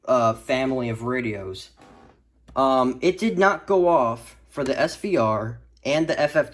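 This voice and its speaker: Rambling, stammering man vlogging. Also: mid-range voice. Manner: rambling, stammering, stretches words for emphasis. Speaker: hobbyist video creator showing a radio collection. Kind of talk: casual unscripted vlog rant.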